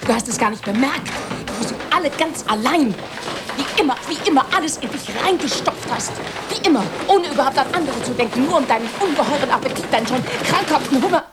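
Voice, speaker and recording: A woman's voice: sits high, expressive, quick pace, very noisy. A woman playing an appalled, reproachful middle-aged wife in a German radio play, plaintive and indignant. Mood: bitter reproach. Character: appalled, reproachful wife watching television with her husband.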